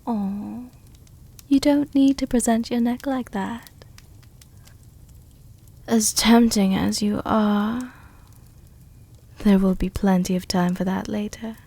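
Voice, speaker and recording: Woman acting a breathy, slow, tender vampire countess. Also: medium pitch, steady tone, no room sound, exaggerated voice. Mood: tender, coaxing. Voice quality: breathy, often dropping to a whisper. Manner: soothing, gently commanding, intimate. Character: tender, seductive female vampire countess, protective and soothing. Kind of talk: soft-spoken ASMR roleplay.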